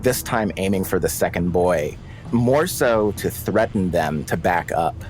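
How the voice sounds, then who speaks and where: Deep, slow male tabletop player narrating. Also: a little mellow, faint music behind, low-quality recording. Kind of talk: dramatic narration of own character in a tabletop game.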